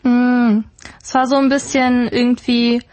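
A woman's voice: on the high side, level tone, a little slow, darkish tone. A German woman in her twenties, a guest on a radio interview, chatting casually with frequent laughter and fillers. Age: 20s. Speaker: guest, YouTuber and presenter. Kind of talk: casual chat.

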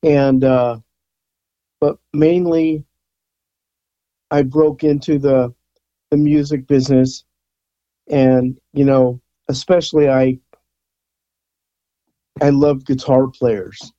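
A man's voice: mid-range voice, fairly even intonation, takes it slowly, pauses often, dark tone, no room sound, dull audio. A man in his sixties, a rock photographer from the Bay Area, chatting casually as an interview guest and rambling with fillers and restarts. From San Francisco Bay Area. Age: 60s.

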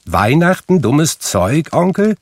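A man puts on a beaming young nephew from a storybook, his voice lifted high and swinging with eager warmth. He sounds earnest and glad, a little husky underneath, in a dry close reading. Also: sing-song, slow, no room sound, heavily acted. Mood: cheerful. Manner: bright, eager, warm-hearted.

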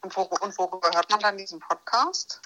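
A woman chatting casually as a podcast guest, telling stories in a colloquial, animated way. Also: mid-range voice, fairly quick, rough recording. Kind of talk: casual chat, telling anecdotes.

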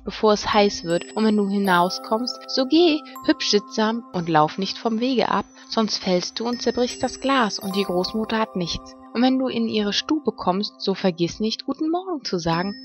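A woman voicing a caring fairy-tale mother who gives careful, gently admonishing instructions to her child. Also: mid-range voice, pitched above the performer's own voice, natural voice. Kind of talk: fairy-tale storybook reading.

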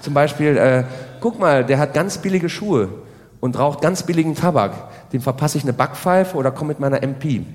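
A man's voice: fairly high pitch, animated, moderate pace. A man performing a spoken stage comedy monologue, rambling and repeatedly checking for agreement with short tag questions. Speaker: stand-up comedian. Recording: reverberant hall sound.

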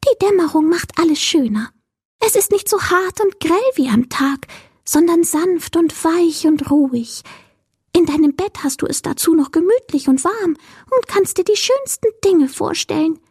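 Irrlicht, a high, wispy will-o'-the-wisp voiced by a woman. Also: expressive, normal speed, darkish tone, no echo, clean studio sound. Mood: enthusing. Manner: stammering, trembling at first, then gushing. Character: timid, then giddy little will-o'-the-wisp.

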